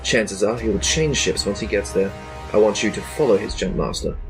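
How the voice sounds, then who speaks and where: A man's voice: fairly low pitch, moderate pace, lightly acted. A man playing a stern, threatening Imperial commander with cold authority in a sci-fi audio drama. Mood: commanding. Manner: cold, clipped, exasperated authority. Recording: music behind the voice.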